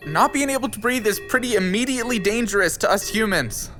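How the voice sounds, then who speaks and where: A man's voice: high voice, animated, fast talker, sharp tone, soft background music. An actor playing an anxious, suspicious, spindly young man in an audio drama. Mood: frustrated. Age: young adult.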